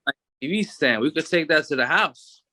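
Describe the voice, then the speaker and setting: A man's voice: on the high side, normal speed, no room sound. A man in his thirties with a New York accent, talking casually as an interview guest with lots of fillers and restarts. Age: 30s. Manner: relaxed street talk with many fillers and restarts.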